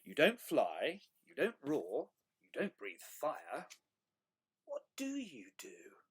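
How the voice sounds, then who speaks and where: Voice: mid-range voice, wildly swooping pitch, slow pace, breathy edge, exaggerated voice. A storyteller voicing a friendly, curious young dragon telling its own story, earnest and wide-eyed, with dramatic emphasis. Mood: eager.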